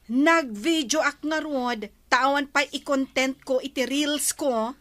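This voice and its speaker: Idle, vain young wife, very high voice, Ilocano accent, radio drama. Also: female voice, takes it slowly, a bit bright. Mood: breezy, whiny.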